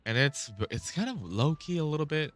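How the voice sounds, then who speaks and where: A man's voice: fairly high pitch, sing-song, moderate pace. A man chatting casually on a vlog, rambling and restarting his sentences, swearing now and then. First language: Vietnamese. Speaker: vlogger.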